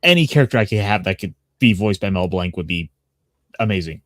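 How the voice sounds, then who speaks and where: A man in a casual stream chat who talks haltingly with many restarts. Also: low-pitched, lively intonation, dry recording, studio quality.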